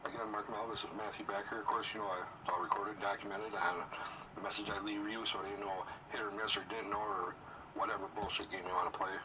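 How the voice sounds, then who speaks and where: A man's voice: on the low side, level tone, quick pace. A man leaving an angry, accusatory voicemail full of swearing and insults, heard as a noisy phone playback from a distance. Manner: profane, accusatory, insulting, confrontational.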